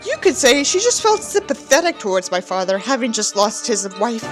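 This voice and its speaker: A woman's voice: a little high, very animated, moderate pace, soft background music. A woman voicing a bitter, self-pitying young narrator in a sneering, melodramatic dramatic reading of a novel. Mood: resentful, scornful.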